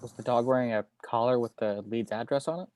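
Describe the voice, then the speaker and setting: A man plays a tired, withdrawn criminal investigator. He speaks quickly in clipped, detached phrases with an average male pitch, as in a script reading. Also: fairly even intonation, a little mellow, lightly acted. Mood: detached, pensive. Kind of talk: screenplay table read acting.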